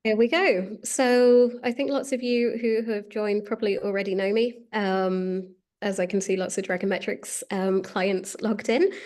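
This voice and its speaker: A woman's voice: mid-range voice, fairly even intonation, unhurried, a little mellow. A woman hosting a webinar as a marketing director, talking casually with lots of "um"s. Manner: chatty, many fillers. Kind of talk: presenting a webinar.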